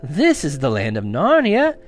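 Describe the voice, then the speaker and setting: A man voicing a polite, fussy male faun who is shy and excitable, formal and flustered, repeating himself. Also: on the high side, unhurried, faint music behind. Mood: warm, inviting.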